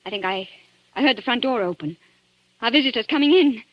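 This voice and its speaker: An actress in a 1940s radio drama playing a frightened, quick-witted young woman, tense and urgent in manner. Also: on the high side, expressive, natural voice. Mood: urgent, anxious. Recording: muffled vintage 1940s radio recording.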